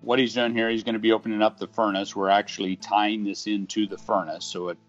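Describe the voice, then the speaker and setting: A man's voice: on the low side, flat delivery, fast. A middle-aged man in an easygoing, conversational voice-over, explaining an HVAC installation with homespun comparisons. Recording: dull lo-fi audio with faint guitar music.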